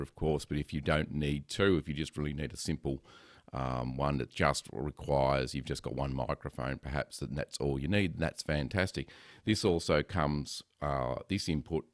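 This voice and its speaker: A man who runs a podcast, chatting casually about his recording gear in a loud, resonant voice, rambling with lots of ums and self-corrections into a close headset microphone. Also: a bass voice, no room sound. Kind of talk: casual gear talk to camera. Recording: dry, close sound.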